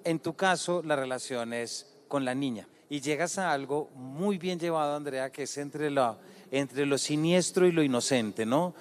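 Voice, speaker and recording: A man's voice: fairly high pitch, measured pace. A man moderating a literary panel, keeping an eye on the time as he draws the authors into conversation. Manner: prompting and time-conscious.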